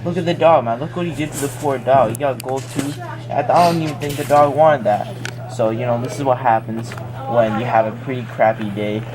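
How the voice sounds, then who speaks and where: A man's voice: mid-range voice, brisk, a crowd in the background. A young man retelling a picture book as a joke, in slangy, rambling, casual talk.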